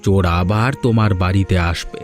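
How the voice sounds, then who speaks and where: A man's voice: deep voice, fairly even intonation, fairly quick, soft background music, close to an everyday voice. A man voicing a confident village advisor in a cartoon, speaking in a didactic, reasoning way. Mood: advising.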